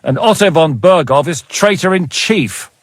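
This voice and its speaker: An actor playing a wry, battle-hardened mercenary captain in a game, speaking in a measured, world-weary way. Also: male voice, a little high, expressive, dry recording. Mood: measured, grim. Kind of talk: dramatic game dialogue.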